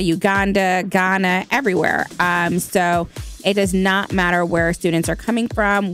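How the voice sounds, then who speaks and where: A woman from Malawi, a nonprofit founder, giving conversational answers as a radio guest. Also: on the low side. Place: radio studio.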